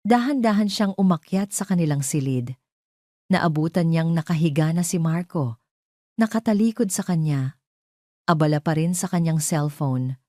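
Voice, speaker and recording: A woman narrates a scripted drama in a low register. Her tone is soft-edged and mellow, delivered as dramatic storytelling. The sound is close and dry, with almost no room in it. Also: no room sound.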